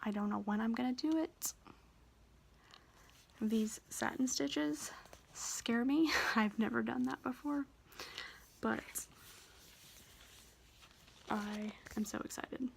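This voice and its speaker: A young woman with a soft, quiet voice, chatting shyly and a bit nervously about her stitching projects in a casual vlog. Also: fairly high pitch, gravelly, a little hiss or hum. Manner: shy, rambling, nervous. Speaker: cross-stitch vlogger. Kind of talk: casual show-and-tell vlog.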